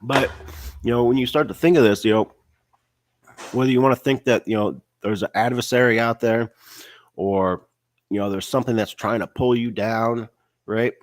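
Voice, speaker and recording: A man's voice: mid-range voice, steady tone, moderate pace, pauses often, no echo. A man with a cold, sounding stuffy and nasal, giving a casual faith-based motivational talk full of "you know" and "right?" fillers. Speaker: online business vlogger.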